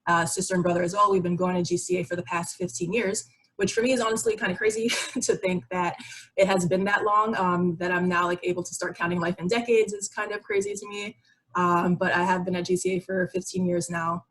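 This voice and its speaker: A young adult woman gives an informal educational talk over a video call. Her voice sits a little low and has a husky, breathy grain. She explains things step by step in a conversational way. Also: a little fast, rough recording. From Barbados. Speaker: psychiatry resident giving a presentation. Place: online video meeting with shared slides.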